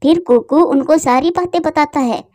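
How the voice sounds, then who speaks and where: A woman narrates a scripted cartoon story in a high voice. The recording is close and dry, with no room sound. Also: normal speed, warm tone, no echo.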